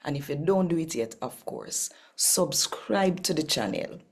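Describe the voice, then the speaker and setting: A Jamaican woman with a husky, gravelly voice, hosting a scripture reading channel in a deliberate, emphatic manner. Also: low voice, expressive, a little mellow. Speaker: host and scripture reader.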